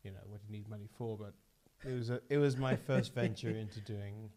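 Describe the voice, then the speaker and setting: A man from Leeds, a founder guest on a podcast, telling his story in a reflective, measured way. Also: lowish voice, fairly even intonation, warm tone. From Leeds, England. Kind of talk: casual podcast conversation.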